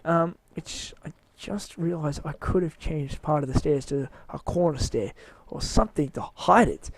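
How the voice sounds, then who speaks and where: A man's voice: on the high side, unhurried, dry recording. A man giving a casual, rambling walkthrough of his game build, tired and with his voice wearing out, hesitant and mocking himself.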